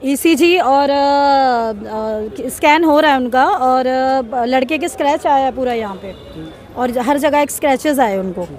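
A woman's voice: high voice, measured pace, a little mellow. A woman, a local resident, complaining to a reporter on a crowded street at night, indignant and insistent, speaking into a handheld mic.

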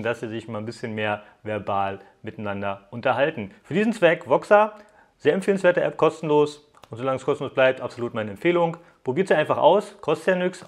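A young man giving a casual, conversational hands-on review to viewers, explaining things informally as he goes. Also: medium pitch. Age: young adult. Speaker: tech app reviewer. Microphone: lapel mic.

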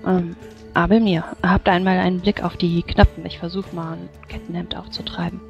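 A woman's voice: lowish voice, little pitch movement, moderate pace, creaky. A woman playing a polite, practical mage in a tabletop game, speaking formally and courteously. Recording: dull microphone, background music.

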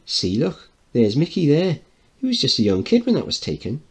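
A man voicing a warm working-class mother who comforts a child with gentle, colloquial, hushing talk. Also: on the high side, wildly swooping pitch, moderate pace, breathy edge, voice pushed up, exaggerated voice. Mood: tender.

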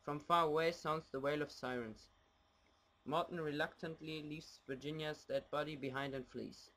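A man's voice: a little high, steady tone, moderate pace, fairly bright tone, slight background noise, low-quality recording. A young man from Germany giving scripted narration for an amateur radio play.

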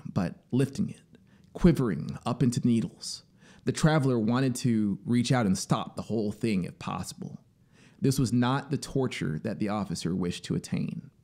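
A man in his thirties reads a literary story in a deliberate, dramatic way, drawing out key words into a close studio microphone. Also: medium pitch, mellow. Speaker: narrator and musician. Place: small home studio, indoors. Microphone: close studio microphone on boom arm. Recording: music behind the voice. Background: synthesizer soundscape music.

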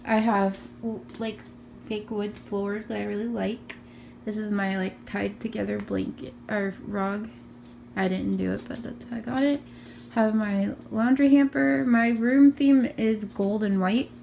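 A young woman with a raspy morning voice, chatting casually through a tour of her small bedroom, filmed on a handheld phone. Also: mid-range voice, darkish tone, slight background noise. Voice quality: raspy from just waking up. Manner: chatty, upbeat, many ums and likes. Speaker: vlogger. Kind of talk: casual room tour vlog. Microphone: handheld phone camera.

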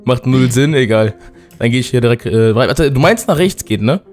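A hoarse man with a cold, giving excited let's-play commentary and often breaking into loud outbursts. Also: medium pitch, fairly quick, soft background music. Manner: excitable, loud outbursts, keeps addressing viewers. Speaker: gaming YouTuber.

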